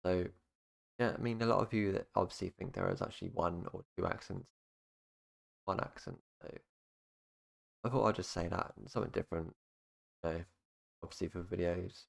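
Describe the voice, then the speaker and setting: A young man with a very low, gravelly voice. He talks loosely to the camera, looping through "obviously" and "basically" as he goes. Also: steady tone, normal speed, pauses often. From United Kingdom. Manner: rambling, full of fillers like "obviously" and "basically". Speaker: YouTuber. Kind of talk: casual explainer monologue.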